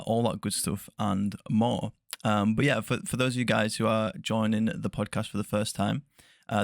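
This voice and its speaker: A man hosting a soft-spoken interview podcast, chatty and laughing often, correcting himself as he goes. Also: fairly low pitch, creaky, no room sound, clean studio sound. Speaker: podcast host.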